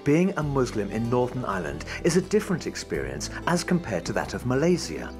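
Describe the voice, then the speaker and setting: A woman's voice: very deep voice, sing-song, brisk, music in the background. A woman giving scripted documentary narration.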